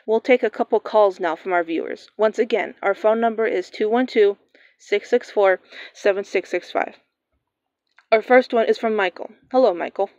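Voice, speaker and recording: A woman playing a radio newscaster, reading breaking news in a steady, formal manner. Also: medium pitch, moderate pace, no echo, natural voice. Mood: urgent. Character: composed female radio newscaster. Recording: dull lo-fi sound.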